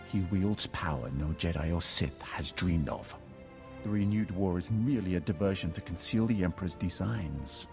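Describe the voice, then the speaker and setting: A grave, proud dark lord for a video game. The voice sits low and every statement comes out measured and foreboding, like prophecy. Loud music plays behind it. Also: male voice, creaky. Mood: ominous. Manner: solemn, ominous, measured declarations. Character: grave Sith lord defector, proud, coldly calculating seer. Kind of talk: dramatic video game acting.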